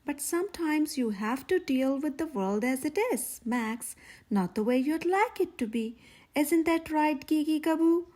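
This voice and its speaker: A woman's voice: sits high, lively intonation, a little slow, darkish tone, pitched above the performer's own voice. A woman voicing a bossy, earnest preschool girl detective who talks like an official in a storybook. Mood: matter-of-fact. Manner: official, grown-up detective phrasing. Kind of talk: children's storybook read-aloud.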